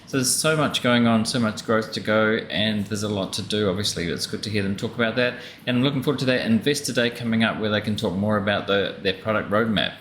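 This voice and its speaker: A man's voice: lowish voice, quick pace, few pauses, a bit bright. A man hosting a reaction show, giving casual, conversational commentary and repeating key points. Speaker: host reacting to earnings call clips. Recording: echoey room, clear background noise.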